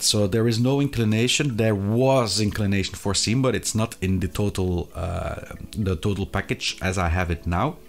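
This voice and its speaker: A man in his thirties presenting a product review to camera, with a hesitant, halting delivery and frequent pauses. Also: fairly low pitch. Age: 30s. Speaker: video reviewer of sim racing gear. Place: small indoor hobby room.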